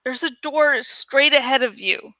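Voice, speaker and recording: A woman's voice: sits high, measured pace, sharp tone, no echo, pitched above the performer's own voice. A woman voicing an anxious teenage girl who speaks urgently and exclaims in an audiobook-style story reading. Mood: urgent warning. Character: Cassie, anxious teenage girl crying out warnings.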